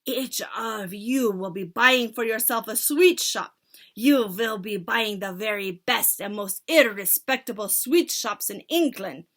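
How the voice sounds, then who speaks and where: A woman's voice: medium pitch, measured pace, fairly bright tone. A woman voicing a tiny, furious, tyrannical old female witch leader who shrieks her orders in oddly twisted English. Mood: commanding. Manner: shrieking, foreign-sounding odd grammar.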